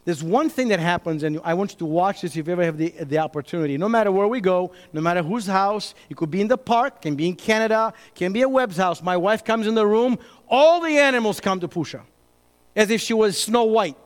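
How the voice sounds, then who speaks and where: A pastor preaching a sermon, emphatic, moving between loud bursts and hushed asides. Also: male voice, high-pitched, lively intonation, fairly quick. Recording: reverberant hall.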